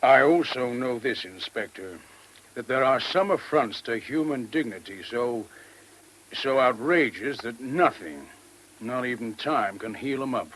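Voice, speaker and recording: A man's voice: medium pitch, lively intonation, a little slow, a bit bright. A man playing a worldly, cynical army major in a radio play, with a casual, folksy manner. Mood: grave, insistent. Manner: casual, wry, folksy phrasing. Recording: noisy old radio drama recording.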